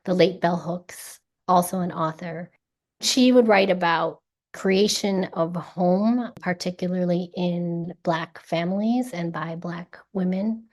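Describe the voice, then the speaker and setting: A woman in her forties, an educator and founder, giving a thoughtful, earnest interview answer in a measured way. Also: fairly low pitch, darkish tone. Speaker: guest, ethnic studies educator and founder.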